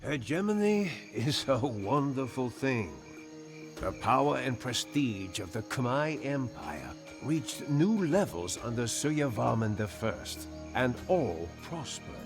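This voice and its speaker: Expressive male game narrator over music. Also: medium pitch, takes it slowly. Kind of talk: scripted dramatic storytelling narration for a historical strategy game. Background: background music.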